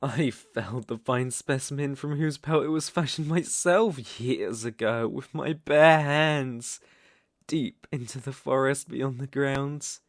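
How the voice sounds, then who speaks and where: A man's voice: mid-range voice, slow, no room sound, studio quality. A British man playing a seductive, domineering vampire lord in an intimate ASMR roleplay, with teasing, ornate, condescending speech. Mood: proud, mocking.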